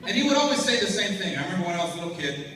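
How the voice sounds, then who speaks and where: A young man doing an animated stand-up set on a conference stage, telling stories with frequent restarts. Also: high voice, rapid-fire. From United States. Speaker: stand-up comedian. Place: conference stage before a live audience. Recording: echoey hall, distant mic, noisy crowd.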